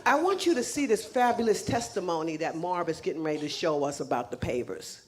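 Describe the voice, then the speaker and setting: A woman's voice: deep voice, animated, brisk, darkish tone. A woman making casual announcements to a church congregation, chatty and laughing, with frequent restarts, speaking English as a second language. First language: not English. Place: church sanctuary.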